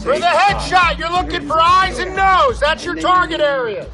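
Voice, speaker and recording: A man's voice: very high voice, normal speed, over music, crowd noise. A man working as a firearms instructor, calling out drill instructions.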